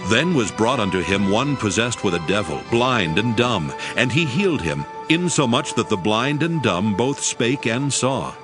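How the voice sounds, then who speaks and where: A man narrates scripture in a steady, reverent manner, his pitch moving expressively through each sentence. Music plays clearly beneath him. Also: normal speed, few pauses.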